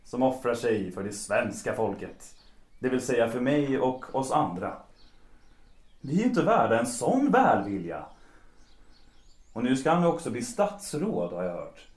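A man's voice: medium pitch, very animated, long pauses, darkish tone, close to an everyday voice. Guy playing a cynical bohemian composer in an old stage drama, kinda self-pitying and mocking, roomy echoey sound. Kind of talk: period stage-play audio drama acting in Swedish.

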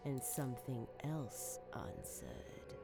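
A cryptic occult seer. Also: female voice, a bass voice, takes it slowly, music in the background, heavily acted. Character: calm occult seer woman, cryptic and unsettling. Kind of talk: audio drama acting.

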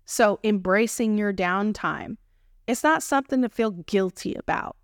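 A woman in her thirties with a Texan accent talks through her podcast in a playful, slangy way, cheering herself on as she goes. Her voice is smooth and resonant and unhurried. The sound is dry, with no room echo. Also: mid-range voice. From Texas, United States. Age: 30s. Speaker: podcast host. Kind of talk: solo podcast monologue.

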